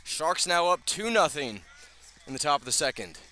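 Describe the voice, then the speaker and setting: A man's voice: a little high, big pitch swings, moderate pace, bright, forceful tone. A man calling a baseball game live as a radio play-by-play announcer, speaking from field level at an outdoor ballpark.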